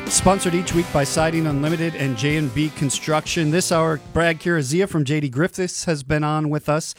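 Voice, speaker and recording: A man's voice: fairly high pitch, fairly even intonation, normal speed, music in the background. A man hosting a home-improvement radio show, chatting in an easygoing way.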